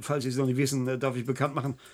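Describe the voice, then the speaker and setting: An actor playing an irascible, domineering professor and chief physician in a German radio drama, blustering, sarcastic and impatient. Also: male voice, medium pitch, little pitch movement, racing, noisy background, natural voice. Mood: brisk, worried.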